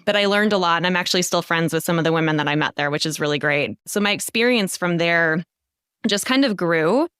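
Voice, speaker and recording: A woman's voice: lowish voice, brisk, fairly bright tone, smooth, no room sound, studio quality. A woman in her thirties, a guest leadership coach telling her career story in a chatty podcast interview, laughing now and then. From United States. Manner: chatty, laughs often, says "so" a lot.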